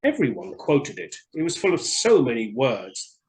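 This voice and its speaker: A man's voice: medium pitch, wildly swooping pitch, normal speed, darkish tone, rough recording. A man reading a story expressively for children, in a theatrical and friendly storyteller's manner.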